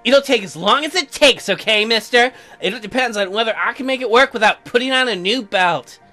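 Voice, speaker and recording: A man's voice: brisk, bright, forceful tone, heavily acted. A man voicing a young newlywed colonist wife, chirpy but testy, in a visual novel game. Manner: high-pitched girlish put-on, narrating and bickering. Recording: music behind.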